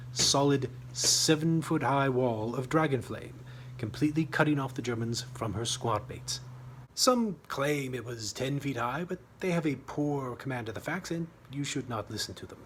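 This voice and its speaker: A man's voice: medium pitch, moderate pace, a bit bright, low-quality recording. Wry male storyteller, slight background noise. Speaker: author reading own short story. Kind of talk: scripted comic narration.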